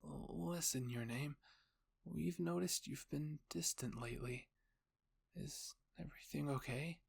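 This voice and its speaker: Casting: gentle young soldier acted by a man, raised pitch, earnest, hesitant, concerned, audio fan drama. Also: slow, vocal fry, breathy edge, heavily acted. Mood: worried. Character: gentle, worried young male soldier friend.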